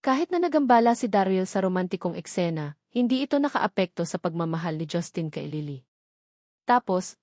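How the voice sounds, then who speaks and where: A woman's voice: medium pitch, darkish tone, no echo. Filipino woman narrating a novel, dry sound. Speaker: audiobook narrator.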